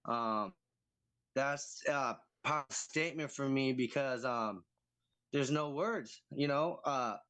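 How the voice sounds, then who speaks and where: A man's voice: medium pitch, slow pace, fairly bright tone, no room sound. A man on a video call sharing at a recovery meeting, hesitant and full of fillers.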